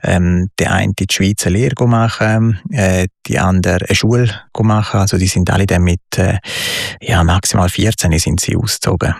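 A man's voice: low-pitched, fairly even intonation, normal speed, creaky, dry recording, clean studio sound. A man with a Swiss German accent, a guest reflecting on his life with many hesitations. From Switzerland, grew up in southern Italy. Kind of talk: personal life-story interview.

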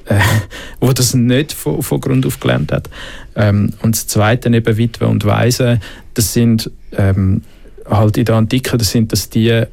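Gravelly Swiss German man. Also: lowish voice, a little mellow. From Switzerland. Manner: thoughtful, many filler sounds and restarts. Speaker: panel guest discussing the Bible. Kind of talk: reflective faith discussion.

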